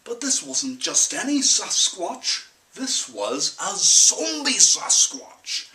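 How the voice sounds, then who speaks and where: A man playing an excitable young farm lad telling a panicked tale in a put-on mix of Australian, Irish and English accents, with theatrical, drawn-out emphasis. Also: high voice, pitched above the performer's own voice, heavily acted. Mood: scared, amazed. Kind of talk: theatrical comic audition monologue. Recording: roomy camcorder sound, distant from mic.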